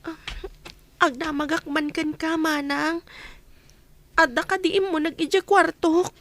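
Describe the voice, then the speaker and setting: A man voicing a puzzled young woman in a high, soft falsetto for a radio drama. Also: level tone, unhurried, a little hiss or hum, heavily acted. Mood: uneasy.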